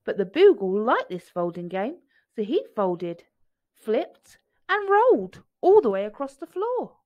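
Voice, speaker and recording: A woman's voice: fairly high pitch, sing-song, unhurried, no echo, lo-fi sound. A woman reading a children's picture book aloud in a storytelling style.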